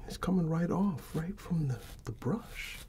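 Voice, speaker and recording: A middle-aged American man doing a soft-spoken ASMR barber roleplay, breathy and often near a whisper, with an unhurried, halting, fatherly manner. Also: on the high side, animated, a little hiss or hum. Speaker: ASMR performer playing a barber.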